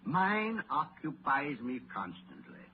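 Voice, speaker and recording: A thin, narrow old-time radio recording carries a miserly older businessman. His voice sits fairly high and swings widely as he grumbles, scoffs and barks orders with cold-hearted scorn. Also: big pitch swings, slow pace, noisy background, heavily acted. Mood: curt, dismissive.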